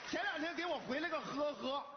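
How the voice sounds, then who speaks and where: Fed-up bossy dad, northern chinese mandarin accent, wisecracks, voice swoops all over, echoey hall. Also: male voice, very high-pitched, expressive, fairly quick. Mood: indignant scolding. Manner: scolding, dramatic, blunt. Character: exasperated, bossy, wisecracking middle-aged father. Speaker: comedy sketch actor. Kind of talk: theatrical comic sketch acting. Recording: echoey hall, dull sound, faint noise.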